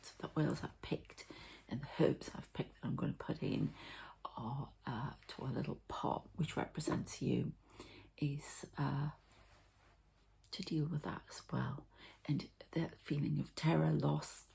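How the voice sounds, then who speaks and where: Whispering, very husky, creaky-voiced woman. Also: low-pitched, steady tone. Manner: soft, hesitant, halting, meandering. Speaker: host, spiritual healer. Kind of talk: whispered ASMR healing session.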